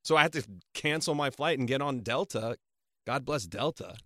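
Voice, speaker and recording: A man on a talk show panel, chatting casually and telling animated anecdotes. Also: medium pitch, moderate pace, no echo, studio quality. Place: dry radio studio.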